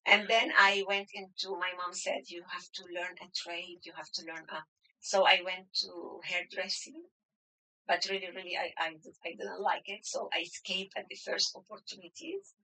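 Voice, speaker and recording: A woman's voice: lowish voice, little pitch movement, moderate pace, bright, forceful tone, low-quality recording. A woman in her sixties, raised in Lebanon, telling her life story as an interview guest, with restarts and fillers. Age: 60s. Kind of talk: conversational interview.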